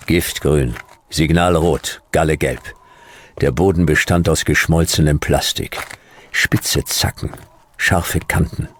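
Hard-boiled detective, very deep male voice, slow, terse, weary, noir radio drama. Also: a little hiss or hum, heavily acted. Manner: terse, clipped short sentences. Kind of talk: radio play narration, noir.

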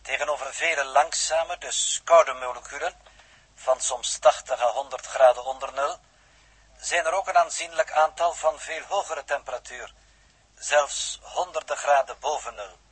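Male Dutch renegade scientist, 1960s radio drama: formal, precise, enigmatic, slightly raspy, recorded dry. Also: fairly high pitch, normal speed, fairly bright tone, no room sound. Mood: lecturing. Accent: Netherlands Dutch. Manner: pedantic, elaborately polite, precise.

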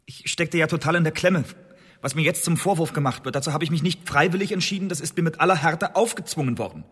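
An actor in a German radio drama playing a desperate accused agent in his late twenties, pleading earnestly in halting phrases. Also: on the high side, a bit bright, slightly rough. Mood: pleading, indignant. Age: late 20s. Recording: reverberant hall.

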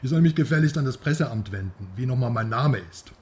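An actor playing a talkative, teasing Western journalist with a theatrical manner in a radio crime drama. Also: male voice, mid-range voice, lively intonation, fairly quick, darkish tone, a little hiss or hum, lightly acted. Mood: amused storytelling. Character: chatty, mocking Western male journalist in East Berlin.

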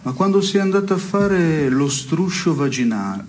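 A man's voice: a little high, big pitch swings, normal speed, darkish tone, slight background noise. An actor playing a pedantic, dejected man who needles his partner in absurdist stage dialogue. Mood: needling. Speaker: stage actor performing a two-person play.

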